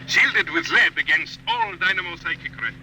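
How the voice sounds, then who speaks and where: A man's voice: a little high, big pitch swings, normal speed, pushed and bright, heavily acted. A man acting in a 1950s radio drama as a pompous, declamatory enemy propaganda announcer heard over shortwave radio. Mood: triumphant, menacing. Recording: noisy, humming shortwave broadcast sound.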